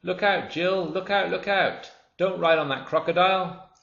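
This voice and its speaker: A man voices a plump storybook bird who sings out his warnings in a high, lilting voice. The audio is roomy and a little muffled. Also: normal speed, vocal fry, fairly bright tone. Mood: urgent. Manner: sing-song calling. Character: plump cheerful male bird, sing-song warner. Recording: roomy, dull lo-fi recording.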